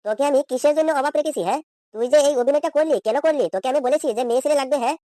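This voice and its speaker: A man's voice: very high voice, rapid-fire, warm tone, no room sound, studio quality. A man voicing an exasperated, insistent husband in a comedy cartoon. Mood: reproachful. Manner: insistent, questioning, reproachful. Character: exasperated, nagging cartoon husband questioning his wife.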